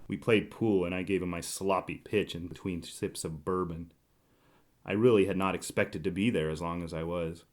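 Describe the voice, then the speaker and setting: A man's voice: sits low, steady tone, moderate pace, close to an everyday voice. A man playing a wry, brooding 1920s private detective, narrating in a scripted noir radio drama style.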